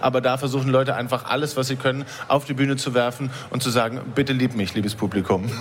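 Fast-talking man, thoughtful guest. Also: medium pitch, fairly even intonation, slight background noise. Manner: quick, thoughtful, some stammering. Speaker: poetry slammer and author. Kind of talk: radio interview guest.

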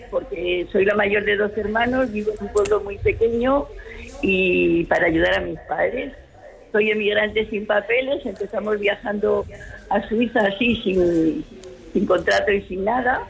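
A woman's voice: mid-range voice, measured pace, gravelly, rough recording. An older woman calling in to a radio show, joking playfully with the host. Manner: witty, playful. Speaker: radio caller. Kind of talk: phone-in chat.

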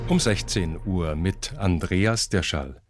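Low-voiced male radio newsreader. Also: slow pace, loud music behind the voice. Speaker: radio news presenter. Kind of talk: scripted news bulletin.

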